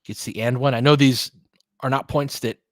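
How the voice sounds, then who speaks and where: A man's voice: mid-range voice, steady tone, normal speed, no room sound, clean studio sound. A man doing casual live basketball play-by-play, talking conversationally and adding his own opinions as he goes. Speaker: play-by-play commentator and host. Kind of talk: casual live basketball commentary with fan chat.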